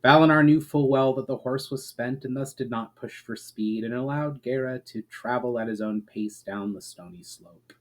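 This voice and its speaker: A man with a medium-pitched voice speaks as an author hosting his own reading. His delivery is expressive and measured. Phrases tend to settle softly as each sentence closes. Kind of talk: dramatic storybook reading of fantasy prose.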